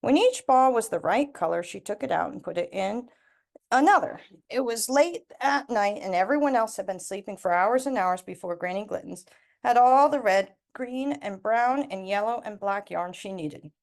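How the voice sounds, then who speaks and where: A woman reading a children's storybook aloud expressively, with deliberate emphasis on repeated words and lists. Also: medium pitch, expressive, creaky. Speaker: storybook reader.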